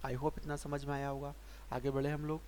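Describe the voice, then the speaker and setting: A man teaching English grammar in Hindi, patiently asking and answering his own questions and slowing down to spell out example sentences. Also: medium pitch, level tone, darkish tone. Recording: faint hiss.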